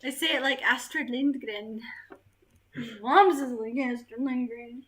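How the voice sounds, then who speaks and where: A woman from the US chats casually on a livestream, her voice high and bright with a slow, drawn-out pace. She laughs often and reads comments aloud into a webcam in her living room. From United States. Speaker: vlogger reading live chat.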